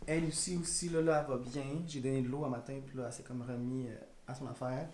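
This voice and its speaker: A man's voice: mid-range voice, steady tone, quick pace, darkish tone, slight background noise. A male schoolteacher with a Quebec French accent, congested, chatting casually to camera in a rambling, tired and frank way. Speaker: elementary school teacher. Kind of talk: casual vlog talk to camera. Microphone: camera mic.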